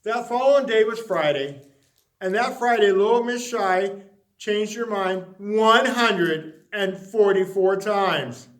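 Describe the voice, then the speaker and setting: A man reading a children's story expressively, with dramatic, drawn-out emphasis on words. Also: high-pitched, reverberant, low-quality recording. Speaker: story reader.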